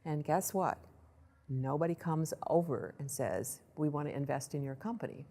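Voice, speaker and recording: A woman presenting a startup case study to an audience in a lively storytelling way, acting out what people said. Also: low voice, moderate pace. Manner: lively storytelling, acts out quoted voices. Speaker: accelerator program director giving a presentation.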